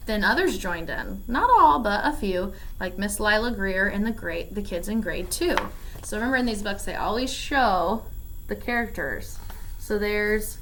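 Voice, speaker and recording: A woman reads a children's picture book aloud with expression and easy, explanatory asides. Her voice sits at an average pitch, with some noise in the background. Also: a bit bright, low-quality recording. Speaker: read-aloud storyteller at a school. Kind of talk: children's storybook read-aloud with casual asides.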